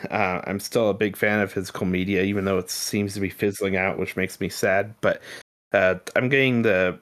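Low-voiced man in casual chat. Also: fairly even intonation, moderate pace, fairly bright tone. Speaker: podcast co-host.

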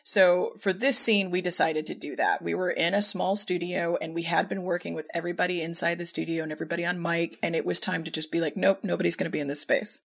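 A woman's voice: fairly low pitch, level tone, fast talker, creaky, few pauses. A woman speaking informally as a director telling work anecdotes in an interview, often quoting herself and chuckling. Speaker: podcast guest, audio drama director and filmmaker.

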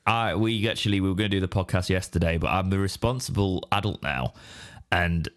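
An English man with a deep, raspy, hoarse voice from straining it, rambling in casual podcast chat. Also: a little fast. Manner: rambling, restarts mid-sentence. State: hoarse after straining his voice. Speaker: podcast co-host.

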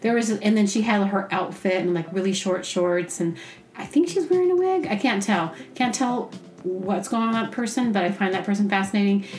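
A woman's voice: medium pitch, brisk. Woman telling casual stories in an echoey room. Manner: animated, acting out her own reactions and asides; rambling, self-deprecating humor. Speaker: podcast host. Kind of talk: casual comedic storytelling. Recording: roomy, echoey sound.

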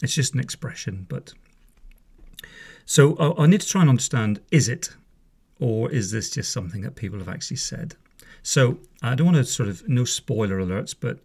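Man, 50s, from Northern Ireland, podcast host, wry chatty monologue, gravelly low voice. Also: darkish tone. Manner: chatty, wry, wanders off on asides. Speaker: podcast host, former police officer. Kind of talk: casual spoken monologue. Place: home room.

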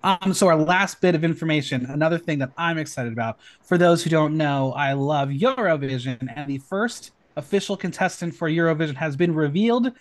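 A man hosting a casual podcast, chatty and excitable, rattling off news with lots of ums. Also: fairly high pitch, a little fast, few pauses. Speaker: podcast host.

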